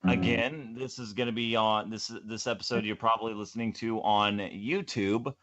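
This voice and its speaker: A man's voice: lowish voice, steady tone, moderate pace, a bit bright, muffled recording. A North American man hosting a casual group podcast with jokey, crude mock-indignant banter. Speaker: podcast host.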